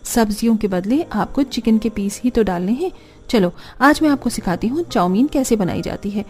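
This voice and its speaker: Woman as soft, kindly young sister-in-law. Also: fairly high pitch, expressive, normal speed, close to an everyday voice. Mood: reassuring. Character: helpful, kindly young younger daughter-in-law, patient and practical. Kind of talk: Hindi cartoon story dialogue. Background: music.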